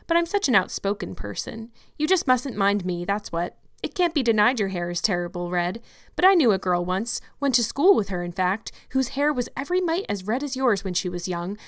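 A woman voicing a hearty, outspoken older village woman in a storybook reading, with brisk, folksy talk. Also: mid-range voice, lightly acted.

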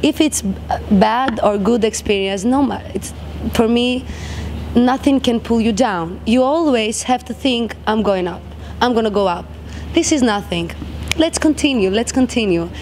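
A woman's voice: a little high, darkish tone, a little hiss or hum. Upbeat woman with a Greek accent. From Albania. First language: Albanian. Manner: upbeat, emphatic, halting English with restarts. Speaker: singer and guest. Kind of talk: casual interview chat.

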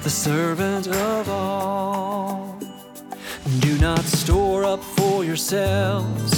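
A woman singing slow, chant-like lines of scripture as a song. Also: mid-range voice. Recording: music behind the voice.

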